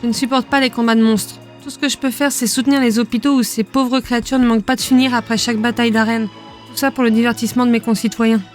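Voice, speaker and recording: A man voicing a gentle, sorrowful woman who speaks softly against monster fights in an audiobook. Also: very high voice, level tone, soft background music, pitched above the performer's own voice. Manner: soft, quick and hushed.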